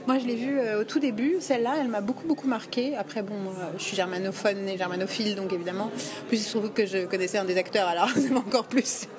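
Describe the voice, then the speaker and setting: A woman's voice: medium pitch, steady tone, fast. A woman hosting a casual podcast conversation in a chatty, informal way. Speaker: podcast host. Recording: busy crowd chatter around the voices. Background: crowd of people talking.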